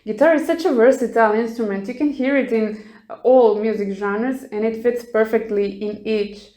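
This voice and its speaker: A woman's voice: on the high side, normal speed, mellow. Casting: female musician, Serbian accent, interview guest, roomy echo on the voice. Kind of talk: interview answers.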